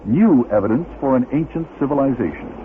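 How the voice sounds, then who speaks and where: A male radio host reads a scripted documentary. His pitch sits a little high of middle and moves in an animated way. The sound is narrow and phone-like, with faint noise. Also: a little fast. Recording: noisy phone-like old radio recording.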